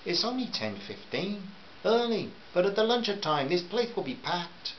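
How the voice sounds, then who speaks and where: A man voicing a shrewd, friendly Greek cafe owner in a put-on Greek accent, speaking slowly and gently. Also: high-pitched, sing-song, highs cut off, slight background noise, pitched above the performer's own voice. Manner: slow, gentle, searching.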